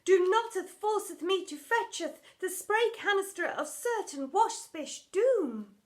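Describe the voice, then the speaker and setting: A woman's voice: extremely high pitch, animated, takes it slowly, voice pushed up, heavily acted. A woman voicing a grandiose, melodramatic female drama teacher from a children's storybook, declaiming theatrically in mock-Shakespearean English. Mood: outraged.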